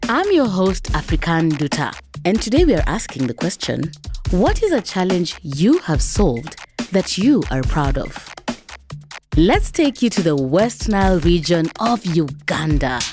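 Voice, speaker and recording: A woman's voice: lowish voice, big pitch swings, unhurried. A woman hosting a narrated documentary podcast with dramatic, rhetorical storytelling delivery. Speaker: podcast host. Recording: music behind the voice.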